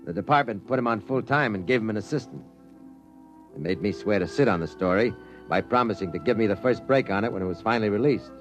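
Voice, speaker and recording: A man's voice: lowish voice, fast talker, soft background music, lightly acted. An actor playing a wry, skeptical male reporter who also narrates, in a 1950s radio drama. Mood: narrating.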